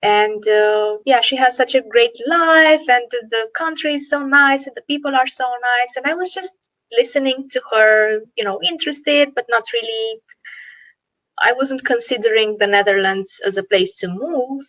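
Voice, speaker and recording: Romanian-accented woman, fairly high and dry; thoughtful guest on a video call. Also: normal speed, fairly bright tone, no room sound, poor audio. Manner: reflective, with many "uh" and "you know". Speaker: guest, NLP coach and founder. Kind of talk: online interview, personal story.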